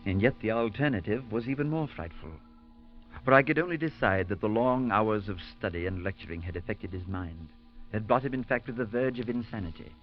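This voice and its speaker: An actor playing a skeptical, level-headed priest, who also narrates in a measured way, in 1940s radio acting on a dull old broadcast. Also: male voice, lowish voice, faint music behind, close to an everyday voice. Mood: solemn narration. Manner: reassuring, doubting, measured narration.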